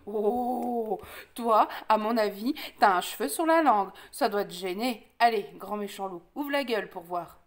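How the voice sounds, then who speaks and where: A woman voicing a small, fearless male rabbit trickster in a children's storybook, bossing and instructing in brisk colloquial speech. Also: mid-range voice, lively intonation, pitched below the performer's own voice. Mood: cheeky.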